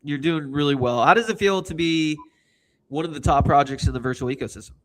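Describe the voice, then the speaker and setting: A man's voice: fairly high pitch, quick pace, rough recording. Hyped, rambling man hosting a livestream. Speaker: host. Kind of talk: casual livestream chat.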